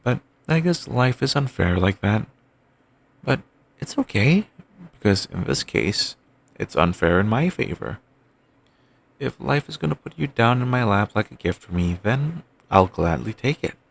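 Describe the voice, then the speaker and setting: A man playing an obsessive, doting yandere boyfriend in an audio roleplay, talking softly and rambling on with endearments. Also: fairly low pitch, big pitch swings, gravelly, heavily acted. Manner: soft, murmuring, slow and rambling endearments.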